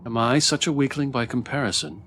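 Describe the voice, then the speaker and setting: A man voicing a gentle, questioning spirit from a fantasy game in formal, archaic phrasing. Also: medium pitch, lively intonation, brisk, a little hiss or hum, voice pushed up, lightly acted. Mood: puzzled, questioning. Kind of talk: amateur read-aloud game acting.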